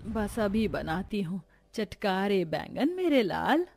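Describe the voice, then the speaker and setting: Woman acting a slow, kind old grandmother. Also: mid-range voice, big pitch swings, vocal fry, full character voice. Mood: tender. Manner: gentle, drawn-out, tender. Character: poor, kind-hearted old village grandmother selling vegetables. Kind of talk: cartoon story character voice.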